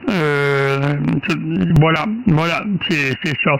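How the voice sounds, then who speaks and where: Higher-pitched Québécois man with a creaky voice. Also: fairly even intonation, fairly bright tone, a little hiss or hum, rough recording. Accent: Quebec French. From French-speaking Canada. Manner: halting, searching for words, repeats himself. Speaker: amateur radio operator. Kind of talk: casual explanation on a ham radio contact.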